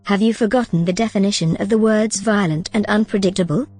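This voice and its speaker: Female AI role: calm, precise, reassuring, mid pitch. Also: fast, soft background music. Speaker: AI companion character. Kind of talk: audio drama acting.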